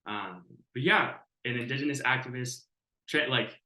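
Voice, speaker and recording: A man in his twenties, a youth mentor, introducing himself as a podcast guest in a halting, earnest way with many uhs. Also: mid-range voice, bright, forceful tone, echoey room, poor audio. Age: 20s. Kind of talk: casual self-introduction.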